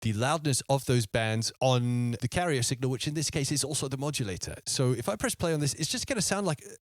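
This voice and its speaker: A man giving an informal software tutorial as a music production tutor, thinking aloud with easy enthusiasm. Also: mid-range voice, lively intonation, a little fast, no room sound, studio quality. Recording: music playing alongside the voice. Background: electronic sound demos and music.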